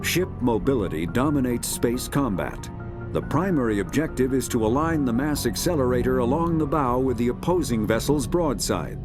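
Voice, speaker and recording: A man narrating a science fiction game's encyclopedia in a measured, formal, authoritative documentary style. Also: medium pitch, expressive, lightly acted. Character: formal male narrator of a sci-fi codex. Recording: music behind the voice. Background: clear background music.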